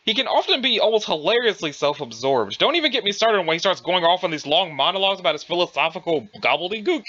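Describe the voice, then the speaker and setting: A man's voice: high-pitched, big pitch swings, fast talker, few pauses, bright, forceful tone, lo-fi sound, exaggerated voice. A man playing a rambling, self-absorbed young adventurer in an audio drama, with bumbling, old-fashioned folksy turns of phrase. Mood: rambling, fretful. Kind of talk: dramatized dialogue reading.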